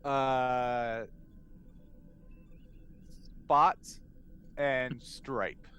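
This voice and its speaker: A man's voice: medium pitch, fairly even intonation, drawn-out words, bright, forceful tone, clear, resonant tone, lo-fi sound. A man, playing a tabletop game and chatting casually, thinking aloud in a hesitant, joking way. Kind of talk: casual improvised game chat.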